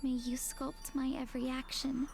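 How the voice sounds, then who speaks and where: A woman voicing a timid, obedient young girl who recites prayers haltingly in a visual novel. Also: sits high, level tone. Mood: timid, solemn. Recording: loud music under the voice.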